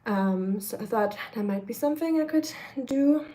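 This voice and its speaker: A young woman with a fairly high, slightly nasal voice chats casually as she cooks on a live stream. She rambles along with plenty of fillers and talks to her viewers as she goes. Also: lively intonation, normal speed, mellow, a little hiss or hum. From Germany. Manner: chatty, rambling, many fillers, reads chat comments aloud. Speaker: vlogger cooking on a live stream. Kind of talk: casual live-stream chat while cooking.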